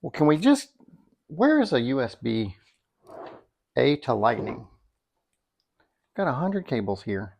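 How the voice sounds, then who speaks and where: A middle-aged man with a creaky, gravelly edge to his mid-pitched voice. He talks like a reviewer chatting to his viewers, unscripted and easygoing, working things out aloud in a folksy way. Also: sing-song, unhurried, long pauses, muffled recording. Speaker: product reviewer, video host. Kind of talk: casual unscripted product review.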